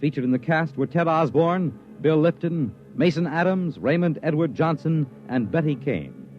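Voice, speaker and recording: An American man announces in classic 1950s radio style. His delivery is deliberate and dramatic, with wide pitch movement. The old recording is dull, with faint music. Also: big pitch swings.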